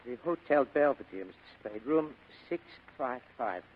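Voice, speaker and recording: An actor playing a polite, fussy, sinister foreign crook in a 1940s radio drama, with formal, precise phrasing and hesitant pauses. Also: male voice, mid-range voice, animated, a little hiss or hum, lightly acted. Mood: cool, courteous. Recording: muffled vintage radio drama recording, phone-like band.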